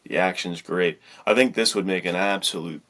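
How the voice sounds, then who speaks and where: A man's voice: low voice, moderate pace, fairly bright tone. A man co-hosting a podcast in a casual, slangy, hyped way, heaping emphatic praise on books. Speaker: podcast co-host and writer. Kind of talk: casual book chat.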